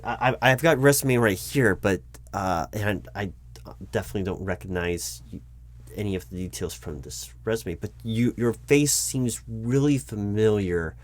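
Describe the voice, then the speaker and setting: A man playing a nervous, polite recruiting manager in a job interview roleplay, speaking aloud in a halting, stammering, apologetic way. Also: on the low side, natural voice. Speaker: roleplay performer. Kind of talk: one-sided job interview audio roleplay, spoken aloud.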